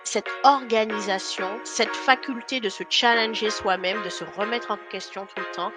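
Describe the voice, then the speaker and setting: A woman from Guadeloupe, a guest speaking remotely in a podcast interview, earnest and pausing often on fillers. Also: medium pitch, steady tone, over loud music. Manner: earnest, with frequent hesitation fillers. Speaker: guest, founder of an online training company. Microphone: remote call.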